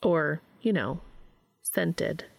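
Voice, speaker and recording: A woman's voice: sits low, extremely slow, gravelly, dry recording. Woman narrating fiction in a dry close recording. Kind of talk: expressive scripted story narration.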